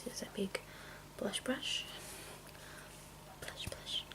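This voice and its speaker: A woman speaking in a breathy whisper in an ASMR style, softly describing things and repeating gentle words. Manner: slow, repeats soft words as trigger sounds. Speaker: ASMR video creator. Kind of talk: ASMR, softly narrating personal makeup items.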